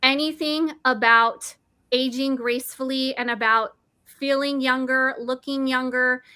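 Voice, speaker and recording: Woman, forties, bright tense voice, dry close sound, eager explainer. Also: high-pitched, steady tone, measured pace, smooth, no echo. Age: 40s. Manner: eager, nerdy, self-correcting. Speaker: product presenter. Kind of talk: enthusiastic explanatory talk.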